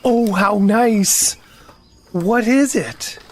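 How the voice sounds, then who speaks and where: A woman playing a mild, slow-witted, kindly little bear, speaking simply and gently in an audio storybook drama. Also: medium pitch, slight background noise. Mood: touched.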